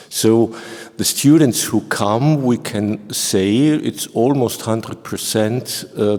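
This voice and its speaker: A long hall reverb surrounds a mature man with a German accent. His voice is fairly low, and he explains things informally as a professor on a panel. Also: a little mellow.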